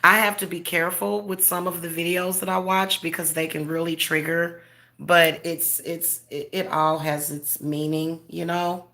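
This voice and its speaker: A woman speaking as a spiritual medium in a casual monologue, congested, halting with many fillers and restarts, earnest and tender. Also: on the low side, level tone, bright, forceful tone.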